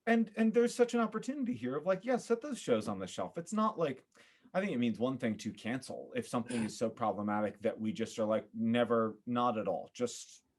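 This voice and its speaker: A man with a medium-pitched voice talks casually as a guest on a podcast. He is expressive and a little theatrical in how he puts things, in an informal conversational style. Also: fairly quick. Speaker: former actor.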